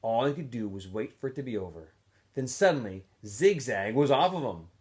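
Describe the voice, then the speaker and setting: A man reading a story aloud in an expressive storytelling style, acting out the dialogue. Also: mid-range voice, moderate pace. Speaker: teacher reading a novel aloud. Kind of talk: storybook read-aloud narration.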